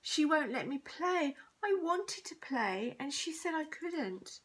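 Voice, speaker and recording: A woman voicing a sly young school bully in a children's story, wailing and pleading in a drawn-out whine. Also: high-pitched, very animated, a bit bright, voice pushed up, full character voice.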